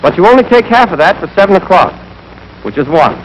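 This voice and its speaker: A man's voice: high-pitched, big pitch swings, fairly quick, lo-fi sound. A young American man giving scripted, clipped, step-by-step marksmanship instruction as an army instructor in a 1940s training film. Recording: noisy 1940s film soundtrack.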